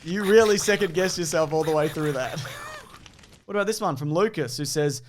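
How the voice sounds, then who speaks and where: Guy hosting a chatty quiz, voice a bit high, dry jokey teasing, reads stuff out. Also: brisk, a little hiss or hum. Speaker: quiz host and podcaster. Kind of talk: casual banter, reading reviews aloud.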